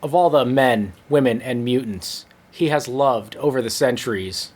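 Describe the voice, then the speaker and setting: A man reading a comic story to listeners with dramatic, drawn-out noir-style narration. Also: mid-range voice, darkish tone. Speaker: comedy storyteller.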